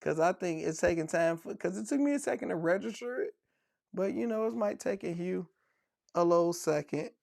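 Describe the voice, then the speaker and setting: Laughing gravelly man telling dad jokes. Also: high voice, expressive, fairly quick, a little mellow, no room sound, clean studio sound. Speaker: podcast co-host. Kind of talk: casual podcast chat.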